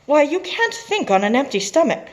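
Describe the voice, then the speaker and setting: A woman reading aloud, voicing a helpful young niece who turns excitable and squeals. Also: high-pitched, moderate pace, voice pushed up.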